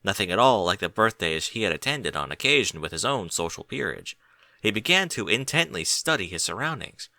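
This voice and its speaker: A man giving expressive, dramatic scripted narration of a story, with deliberate phrasing. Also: lowish voice, no room sound. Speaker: audiobook narrator.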